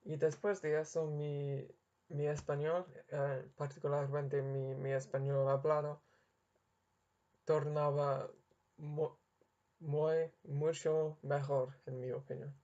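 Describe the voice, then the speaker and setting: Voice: medium pitch, flat delivery, slow, warm tone, dull audio. A young adult language learner talking unscripted and searching hard for words, often restarting and correcting themselves. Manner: apologetic about own mistakes. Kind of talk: unscripted spoken monologue to camera.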